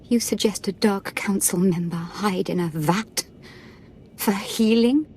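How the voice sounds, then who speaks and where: An actress playing a wounded, imperious young Sith lord in a video game, speaking with haughty, aggrieved drama. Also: female voice, mid-range voice, slow, a little mellow, a little hiss or hum. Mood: haughty fury.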